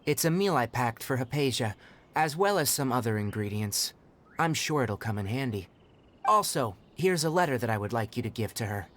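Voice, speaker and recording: An actor playing a composed, knowledgeable young male forest ranger in a video game, speaking in an articulate, measured and formal way. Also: mid-range voice, lively intonation, fairly bright tone, a little hiss or hum, lightly acted. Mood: composed, explanatory. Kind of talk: scripted video game voice acting. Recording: background music under the voice. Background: game music.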